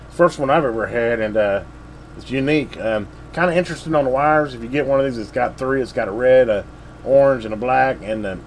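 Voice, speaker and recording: An easygoing man talks through a hands-on radio review in a loose, rambling way, full of fillers. His pitch sits in a middle range. A faint background hum runs under his voice. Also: low-quality recording. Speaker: radio repair video host. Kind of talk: casual hands-on review chat. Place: home ham radio station room.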